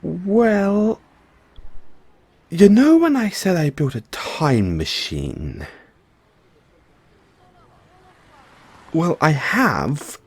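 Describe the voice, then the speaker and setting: A man playing a nervous, geeky mad scientist boyfriend in an audio roleplay, explaining things haltingly to his partner. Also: on the high side, big pitch swings, darkish tone, husky, no echo, full character voice. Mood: sheepish, awkward. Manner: hesitant, stammering, explaining at length. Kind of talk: audio roleplay acting, addressing the listener as partner.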